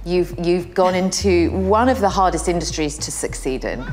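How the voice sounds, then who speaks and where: Woman, TV presenter, interviewer, very deep voice, encouraging and upbeat, faint music bed. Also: normal speed, darkish tone. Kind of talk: interview host. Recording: light music bed behind.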